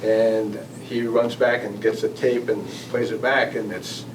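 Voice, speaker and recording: An American man giving an informal spoken recollection as a guest speaker, in a halting, conversational manner. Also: lowish voice, flat delivery. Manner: halting, conversational, acting out quoted exchanges. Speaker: guest speaker, air traffic manager. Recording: distant mic, noisy room ambience.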